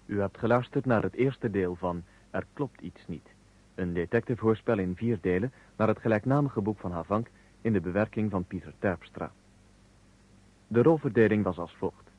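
A man makes formal scripted announcements for a radio play. His voice is husky and fairly low. The recording is dull, as in an old broadcast. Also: normal speed.

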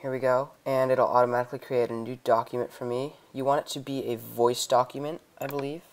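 A young man giving a casual software tutorial in a hesitant, improvised way. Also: medium pitch, little pitch movement, fairly quick. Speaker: tutorial host. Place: indoors, voice-over on a computer screen recording.

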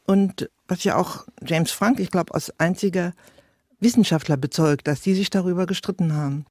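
High-pitched man in an interview. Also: normal speed, a little mellow. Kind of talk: unscripted interview talk.

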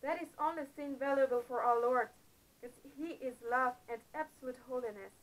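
A middle-aged woman narrating a Bible-themed adventure story to camera in a small room, earnest and a little halting. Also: sits high, rough recording. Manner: earnest, slightly halting phrasing with uneven emphasis. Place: small indoor room before a painted backdrop. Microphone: camera close up in front of her.

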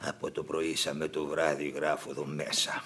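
An actor playing an exhausted, irritable male bank clerk in a theatrical Greek radio play, complaining in a husky, gravelly voice. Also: extremely low pitch, completely flat delivery, full character voice. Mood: weary, feverish. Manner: muttering complaints that rise to sharp outbursts.

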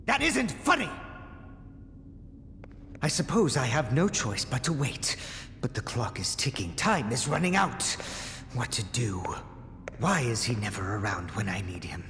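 A man's voice: mid-range voice, very animated, unhurried, pauses often, fairly bright tone. An actor playing a self-important, impatient man in a video game, theatrical and fretful. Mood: indignant. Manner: theatrical, muttering to himself. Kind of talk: video game cutscene acting. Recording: reverberant hall, faint ambient noise.

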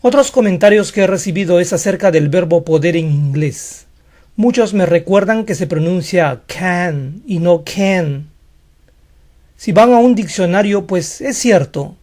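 A man whose first language is Spanish gives an online lesson in a frank, explanatory way. His voice sits high for a man. He defends his points plainly and directly. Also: a little slow, darkish tone. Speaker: English teacher.

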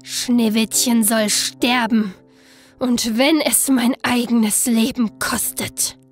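A woman voicing a vain, scheming evil queen in a fairy tale, with drawn-out theatrical delivery. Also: a little high, fairly even intonation, husky, faint music behind. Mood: furious.

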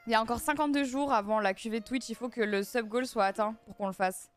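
A young woman from France, chatting casually with her live viewers and answering their chat messages, speaking into a boom mic in a small room. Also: fast talker, soft background music. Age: young adult. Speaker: streamer. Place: small dim room, indoors. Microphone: studio mic on boom arm, headset on.

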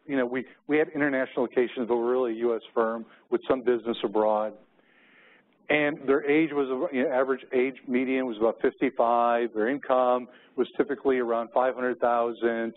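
A middle-aged man with an American accent speaks as a keynote presenter, moving between business points and personal stories. His pitch sits in an average range. The recording is narrow and phone-like, like audio from a conference room feed.

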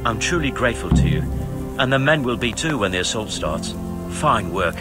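An actor playing an earnest, courteous overseer in a medieval army camp for a video game, sincere in manner. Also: male voice, fairly low pitch, big pitch swings, normal speed, music in the background. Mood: grateful, warm. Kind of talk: video game dramatic acting.

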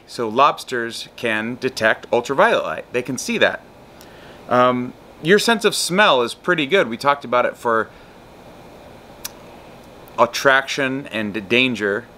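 Animated bearded lecturer man teaching outdoors. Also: mid-range voice, slight background noise. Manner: animated explaining, demonstrates on guitar and whiteboard. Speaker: psychology lecturer. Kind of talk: recorded lecture. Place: outdoors in a backyard patio and garden.

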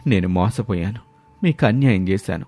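A man's voice: lowish voice, animated, normal speed, warm tone, faint music behind. An actor playing a greedy, self-serving village headman in a cartoon moral story. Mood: ashamed.

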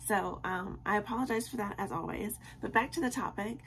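A woman's voice: mid-range voice, a little fast. A young woman with a tired, scratchy voice chats casually to camera from her bedroom at night, rambling with many ums. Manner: rambling and friendly, with many ums and soft laughs. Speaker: vlogger.

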